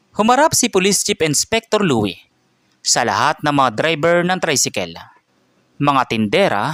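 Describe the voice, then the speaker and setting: A man reading a scripted dramatic story in an even narrator's manner. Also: a little high, dry recording, clean studio sound.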